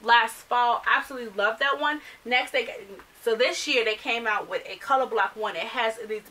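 A woman in her thirties whose first language is not English chats animatedly in a bright, forceful, fairly high voice. She talks to the camera from a small cluttered bedroom, sounding a little distant from the mic. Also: normal speed. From United States. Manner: chatty, animated, gestures while talking. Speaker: fashion vlogger. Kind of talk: casual chat to camera. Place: small bedroom filled with clothes and accessories.

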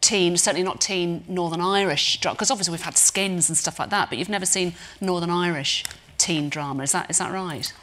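A woman hosting a live festival panel on stage, lively and teasing, with a handheld mic. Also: lowish voice, brisk. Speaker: panel host. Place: stage before a large live audience.

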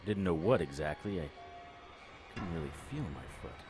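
A man playing a wry, world-weary detective narrating a noir audio drama in clipped, deadpan phrases. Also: deep voice, normal speed, a little hiss or hum. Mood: groggy, musing.

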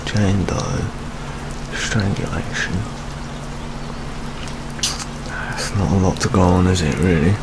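A man performs a world-weary British police detective for an ASMR crime roleplay. His low voice crackles with fry and moves slowly and deliberately. Steady rain noise runs behind the hushed delivery. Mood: wry, weary. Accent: British English. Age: 20s. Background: rain sounds.

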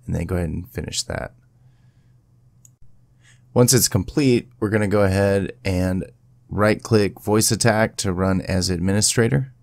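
Low, gravelly man giving instructions. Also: a little slow, pauses often, no room sound. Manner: informal, instructional. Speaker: video tutorial presenter. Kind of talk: casual step-by-step software tutorial.

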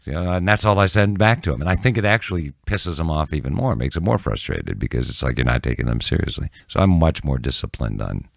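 An older man, a local news anchor, chatting casually as an interview guest in animated, halting bursts at a close studio mic. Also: rumbling low, gravelly, no room sound. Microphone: close studio podcast mic.

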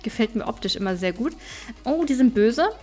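A woman's voice: a little high, lively intonation, brisk, a little mellow. A woman gaming YouTuber giving casual, chatty let's play commentary, thinking aloud as she plays. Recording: music behind the voice.

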